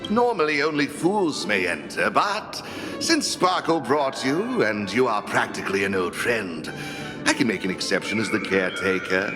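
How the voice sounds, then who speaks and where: A man's voice: lowish voice, sing-song, moderate pace, music in the background. An actor playing a flamboyant, theatrical male tavern caretaker in a game, talking like a grand showman. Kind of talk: game voice acting.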